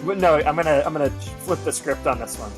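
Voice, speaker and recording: A woman's voice: sits low, fast, over music. A woman on a casual group game stream, reading dialogue aloud and commenting in a joking, sardonic way.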